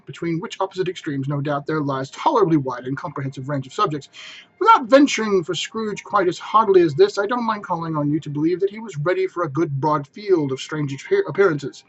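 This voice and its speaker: A man's voice: high-pitched, slightly rough, lo-fi sound. A man reading a classic story aloud as narrator, in a theatrical style with emphatic phrasing.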